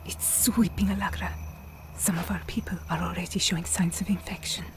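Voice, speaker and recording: An actress playing a proud, resolute Italian woman who leads wartime partisans in a video game, grave and measured, with an Italian accent. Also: fairly low pitch, flat delivery, whispered, soft background music, close to an everyday voice. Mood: urgent, grave. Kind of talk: video game cutscene acting.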